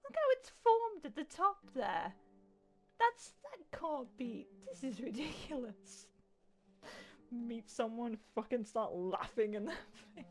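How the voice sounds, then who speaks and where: A woman's voice: fairly high pitch, big pitch swings, slow, soft background music. A woman doing casual gameplay commentary, chatty, sarcastic and prone to swearing. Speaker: gamer, let's player.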